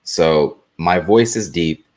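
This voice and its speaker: A man talking on a live call-in chat show, with a deep voice that he says often sounds monotone, blunt and dry. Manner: dry, deliberate delivery.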